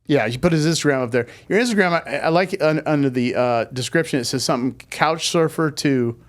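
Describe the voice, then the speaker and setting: A man hosting an interview show in casual chat, informal and quick to exclaim. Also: on the high side. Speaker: podcast host.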